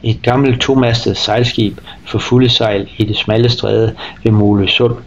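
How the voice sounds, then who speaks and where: A man narrating a sailing trip as a voice-over, in a relaxed, wry tone. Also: lowish voice, creaky, highs cut off, slight background noise. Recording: guitar music behind.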